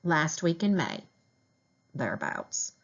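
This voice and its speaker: A woman's voice: low-pitched, very animated, takes it slowly, dull audio. A woman reading a children's diary novel aloud as a teacher would, in storybook narration.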